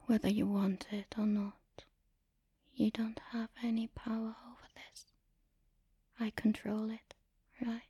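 A woman speaking in an intimate, commanding and teasing erotic ASMR style, in a breathy whisper close to the mic. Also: a little high, little pitch movement, unhurried, pauses often, mellow, no echo. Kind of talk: erotic ASMR, guided audio roleplay.

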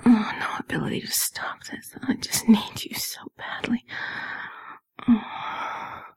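A woman whispering in a breathy, airy voice, in a sultry, intimate adult audio roleplay style with lingering, sighing delivery. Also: takes it slowly, a little mellow, poor audio.

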